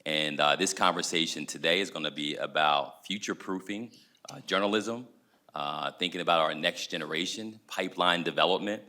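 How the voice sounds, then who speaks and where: A man moderating a conference panel, speaking in a measured, emphatic way. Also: low-pitched, sharp tone.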